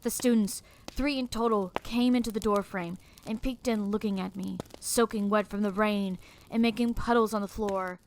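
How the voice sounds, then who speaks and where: A woman's voice: mid-range voice, steady tone, normal speed, a little hiss or hum, close to an everyday voice, low-quality recording. A woman performing a sombre, regretful teacher who narrates a dramatic first-person story. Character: sombre, regretful teacher haunted by lost students.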